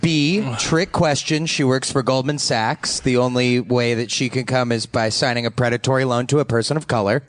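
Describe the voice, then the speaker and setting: A man's voice: medium pitch, fairly even intonation, normal speed, few pauses. Guy doing a jokey quiz bit, regular mid range voice. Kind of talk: comic quiz-question bit.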